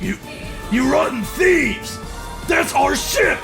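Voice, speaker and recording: A man as a very high, creaky villainous pirate captain. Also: animated, slow, music in the background, exaggerated voice. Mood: outraged. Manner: barking orders, urgent. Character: ruthless, bossy rival pirate captain, excitable villain. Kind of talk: fully voiced pirate audio drama.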